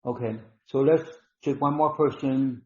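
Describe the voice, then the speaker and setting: A man hosting a live online healing session, talking slowly and instructively, repeating words with many ums. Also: medium pitch, steady tone, warm tone. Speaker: webinar host and healer. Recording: dull roomy online call audio.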